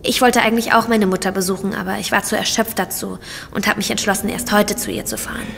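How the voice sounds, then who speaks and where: A frightened young housemaid in an audio drama. She talks fast and earnestly at a middle pitch, in a long reverberant space with faint noise. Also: fairly even intonation, creaky, fairly bright tone. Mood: shaken. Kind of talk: German audio drama acting.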